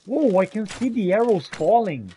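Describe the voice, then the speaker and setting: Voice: mid-range voice, big pitch swings, moderate pace, dark tone, a little hiss or hum. A video game player doing in-character roleplay commentary, exclaiming and talking directly to the game's creatures and characters. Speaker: gaming video host roleplaying while playing.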